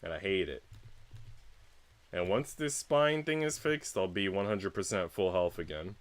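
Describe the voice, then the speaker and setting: A man's voice: sits low, measured pace, no echo. A man livestreaming, chatting casually with viewers in a rambling, slangy way with casual swearing. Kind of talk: casual chat with stream viewers.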